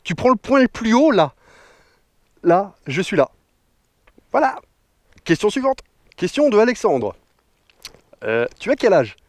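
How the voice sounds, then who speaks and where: A man's voice: high voice, sing-song, measured pace, long pauses, no room sound. A man in his thirties from northern France, a motorcycle vlogger answering viewer questions in a joking, slangy way outdoors. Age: 30s. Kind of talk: casual Q&A vlog. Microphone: action camera mic.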